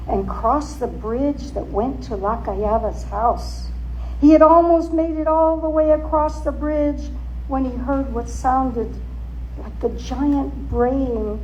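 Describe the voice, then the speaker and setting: A woman giving a theatrical, suspenseful dramatic reading of a story, with deliberate emphasis. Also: sits high, darkish tone, slight background noise, rough recording. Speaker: author and storyteller.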